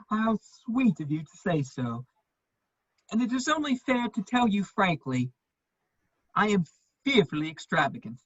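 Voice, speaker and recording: A woman playing a charming, lovestruck gentleman in his thirties in a comedy of manners, witty and earnestly pleading, on a muffled recording. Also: mid-range voice, sing-song, measured pace, long pauses, husky, dry recording. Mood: relieved affection. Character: foppish, lovestruck gentleman just over thirty, charming wit. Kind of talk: stage comedy of manners, dramatic reading.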